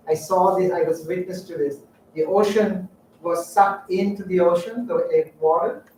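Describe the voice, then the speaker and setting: A middle-aged man from Sri Lanka telling personal stories in a public talk, halting and reflective with frequent fillers. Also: high voice, a little hiss or hum. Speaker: guest speaker. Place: lecture room. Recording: echoey room, distant mic.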